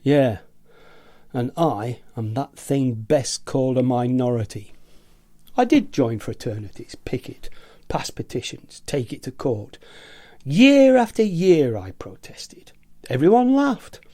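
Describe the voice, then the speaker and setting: A man's voice: mid-range voice, sing-song, slow pace, dark tone, dry recording, clean studio sound. A man acting an agitated, eccentric office worker in rebellion against gadgets, in a theatrical radio play monologue, savouring his words and mimicking nagging voices. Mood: defiant, aggrieved.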